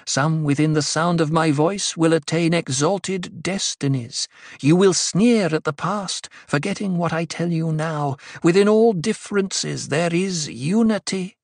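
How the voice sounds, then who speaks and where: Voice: mid-range voice, measured pace, creaky, no echo, clean studio sound, pitched above the performer's own voice. A narrator voicing a fierce young prophetess in an audiobook, commanding and intoning cryptic pronouncements to a crowd. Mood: solemn, intoning. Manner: commanding, ritual intoning that turns sharp.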